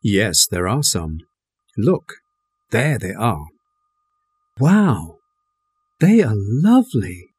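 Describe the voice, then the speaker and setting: A man reading English textbook dialogues aloud, carefully and clearly, for learners. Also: lowish voice, extremely slow, pauses often. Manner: careful, clearly articulated, animated intonation. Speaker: textbook audio reader.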